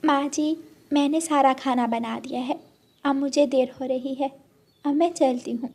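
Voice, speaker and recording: A woman's voice: high voice, steady tone, measured pace, darkish tone, pitched above the performer's own voice. A woman voicing a meek young daughter-in-law bird in a children's cartoon, polite, submissive and pleading. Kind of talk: Hindi children's cartoon story voice acting.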